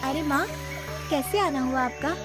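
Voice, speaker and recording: Woman as a high-voiced dutiful cartoon daughter. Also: a little slow. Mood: polite. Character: naive, dutiful, obliging young married daughter. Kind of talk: cartoon story acting. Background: loud background music.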